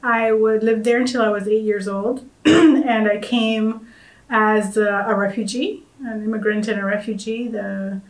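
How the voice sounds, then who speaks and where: A woman from Uganda speaks as a guest on a conference panel. Her speech is soft and halting, broken by uhs and small restarts as she talks. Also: medium pitch, steady tone, reverberant, rough recording. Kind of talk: casual conference panel talk.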